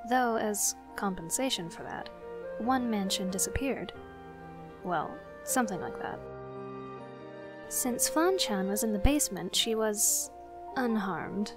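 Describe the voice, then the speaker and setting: A woman's voice: medium pitch, animated, slow pace, pauses often. A woman giving scripted story narration. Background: music.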